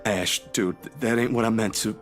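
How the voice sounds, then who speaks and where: A man voicing a defensive, quick-tempered young man who talks back indignantly, swears and uses casual slang. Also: lowish voice, steady tone, faint music behind, lightly acted. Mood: sheepish.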